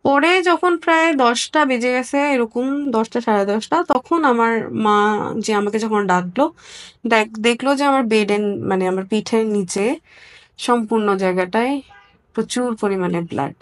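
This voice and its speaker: A woman from Bangladesh giving a personal account as an interview guest, candid and somewhat halting. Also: a little high.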